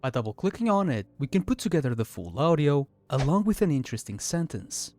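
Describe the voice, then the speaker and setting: Man narrating with widely varied pitch. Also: sing-song, brisk, darkish tone. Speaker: video essay narrator. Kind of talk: scripted explanatory narration.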